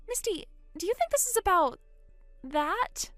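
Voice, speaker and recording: A woman doing a panicked high-voiced young sister. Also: sing-song, a little slow, fairly bright tone, no room sound, soft background music, exaggerated voice. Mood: scared. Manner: breathless, pleading and panicky. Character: frightened young woman, trapped and pleading.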